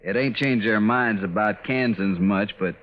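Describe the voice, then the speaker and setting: Man as low-voiced, hard-bitten Texas trail boss. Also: unhurried, natural voice. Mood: gruffly friendly. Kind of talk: 1950s radio western acting. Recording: dull, noisy vintage radio recording.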